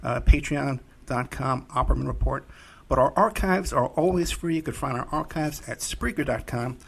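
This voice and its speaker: Gravelly-voiced man hosting a podcast. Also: mid-range voice, moderate pace. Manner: loose, rambling delivery with frequent uh fillers. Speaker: podcast host and private investigator. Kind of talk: casual podcast hosting and ad reads.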